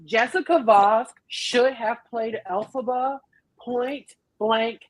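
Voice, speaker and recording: A woman's voice: on the high side, steady tone, takes it slowly, dry recording, low-quality recording. A woman on a podcast panel telling anecdotes in casual chat, emphatic and dramatic.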